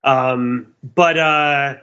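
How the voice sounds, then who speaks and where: A man's voice: medium pitch, fairly even intonation, very slow, pushed and bright, lo-fi sound. A man co-hosting a podcast, explaining his thoughts in a casual, rambling, enthusiastic analytical discussion. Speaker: podcast co-host and commentator.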